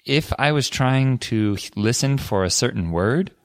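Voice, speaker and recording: A man co-hosting a podcast, telling stories in a relaxed, casual way with frequent fillers. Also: medium pitch, moderate pace, no echo, studio quality. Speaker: podcast co-host.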